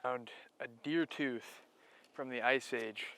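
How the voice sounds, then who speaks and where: A man's voice: medium pitch, slow pace, sharp tone, soft background music, rough recording. A man who hunts fossils, chatting casually in an interview. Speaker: fossil hunter.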